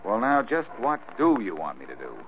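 An easygoing safecracker sleuth from a vintage radio play. His very deep voice trades dry wisecracks at a brisk clip. The recording is thin and muffled, with faint noise. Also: wildly swooping pitch. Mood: wry. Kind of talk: old-time radio drama acting.

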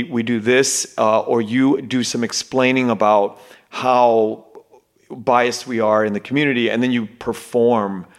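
Rambling man hosting an interview. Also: medium pitch. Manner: rambling, many fillers and restarts, quick bursts. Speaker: podcast host. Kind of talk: casual interview conversation.

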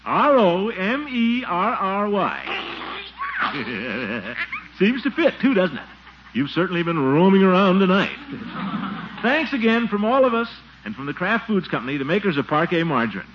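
Flustered jolly radio comedy man, high voice all over the place, chuckly, muffled old recording. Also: sing-song, a little slow. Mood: jovial, grateful. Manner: chuckling, exclaiming, theatrical. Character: jolly, flustered middle-aged man doting on a baby. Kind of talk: 1940s radio comedy acting. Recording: noisy, dull 1940s radio recording.

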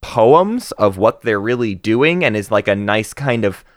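A man in his thirties co-hosting a casual book podcast, talking with animated energy, laughing and often restarting his sentences. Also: on the low side, unhurried, no room sound, clean studio sound. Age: 30s. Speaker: podcast co-host.

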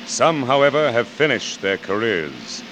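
A man's voice: medium pitch, very animated, measured pace. A man giving solemn, portentous scripted narration in a 1940s radio drama style. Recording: faintly noisy old radio recording.

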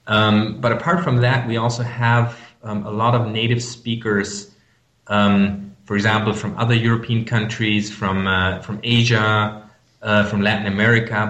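German-accented man with many pauses. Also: on the low side, little pitch movement, a bit bright, roomy sound. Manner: hesitant, many fillers and restarts. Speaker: company representative guest. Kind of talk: business interview.

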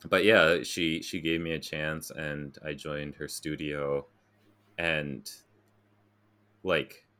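A man's voice: rumbling low, little pitch movement, slow, pushed and bright. An American man telling stories in a casual, informal and self-deprecating way, as a guest in a conversation. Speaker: interview guest, graphic designer.

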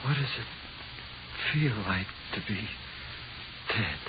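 A man's voice: lowish voice, lively intonation, extremely slow. A man acting in a 1940s radio horror play as a frightened, pleading prisoner, nervous and slangy, haunted in his cell. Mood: uneasy, hushed. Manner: nervous, pleading, slangy tough-guy speech. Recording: muffled, hissy 1940s radio recording.

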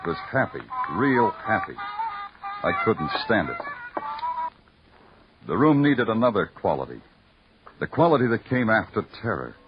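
A brooding, hard-boiled detective telling his case in noir radio style. The delivery is terse and weary, with wide swings of pitch. It comes through as a muffled old broadcast with music. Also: sing-song, normal speed, long pauses. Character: hard-boiled, world-weary male New York night-shift police detective. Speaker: lead actor and narrator. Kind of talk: noir radio drama narration. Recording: dull vintage radio recording.

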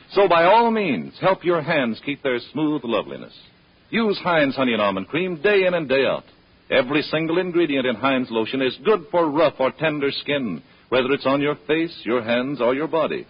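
A man's voice: medium pitch, big pitch swings, moderate pace. An American man reading an old-time radio advertisement as announcer, persuasive and carefully enunciated. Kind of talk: 1930s-40s radio advertisement read. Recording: muffled, husky-sounding old radio recording.

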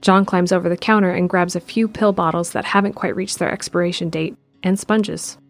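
A woman giving steady scripted narration of an audio drama in the present tense. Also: fairly low pitch, a little fast, creaky, faint music behind.

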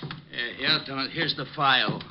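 An actor playing a timid, dopey police sergeant with a hesitant, slangy drawl in an old radio drama. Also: male voice, medium pitch, fairly quick, background noise, natural voice. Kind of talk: 1940s radio comedy-drama acting. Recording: muffled old radio recording.

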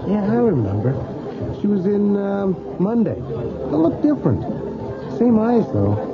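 An actor playing a gossipy, offhand bar regular in a radio drama. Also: male voice, high voice, wildly swooping pitch, measured pace, gravelly, music in the background, a crowd in the background, heavily acted. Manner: chatty, offhand. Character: gossipy bar regular who has seen it all. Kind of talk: 1980s radio drama acting. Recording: dull lo-fi radio broadcast in a noisy bar.

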